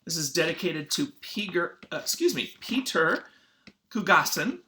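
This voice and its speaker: A man speaking as a schoolteacher in an informal read-aloud lesson for children, halting and often clearing his throat. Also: on the high side, big pitch swings, sharp tone, a little hiss or hum.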